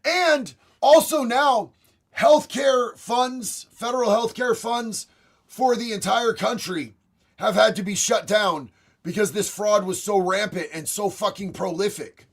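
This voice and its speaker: A raspy young man talks off the cuff on his livestream, blunt and profane, tossing out bro and homie. His voice sits high for a man. He answers chat messages one after another. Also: unhurried. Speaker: livestream host reading chat.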